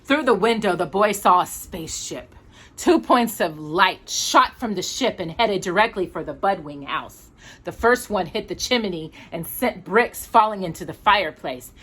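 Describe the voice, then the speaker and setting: A woman hosts a storytime, reading a picture book aloud. Her voice is bright and forward, at a middling pitch, and she narrates expressively for a young listener. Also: lively intonation, normal speed, slightly rough.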